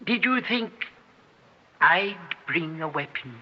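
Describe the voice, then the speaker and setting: An actor playing a quietly menacing colleague turned gunman in an old-time radio drama, deliberate and husky-voiced. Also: on the high side, expressive. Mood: pointed, ominous. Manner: deliberate, pointed questioning. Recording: dull, band-limited old radio recording.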